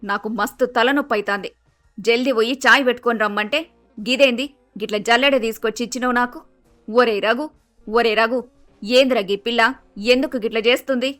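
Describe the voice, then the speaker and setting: A woman's voice: a little high, fairly even intonation, brisk, long pauses, fairly bright tone, faint music behind, close to an everyday voice. A woman playing a bossy, grumpy middle-aged mother-in-law with a Telangana Telugu accent, blunt and scolding, in a story cartoon. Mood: exasperated, scolding.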